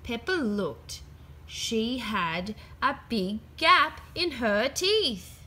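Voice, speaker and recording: A woman reading a children's book aloud as an expressive, dramatic storyteller. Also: on the high side, extremely slow, a little hiss or hum.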